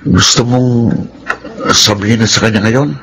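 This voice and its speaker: A man's voice: lowish voice, normal speed, fairly bright tone, slight background noise. An actor with a Filipino accent playing a confident young man, a pushy, encouraging friend and love mentor, in a radio drama. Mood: concerned. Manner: urging, confident.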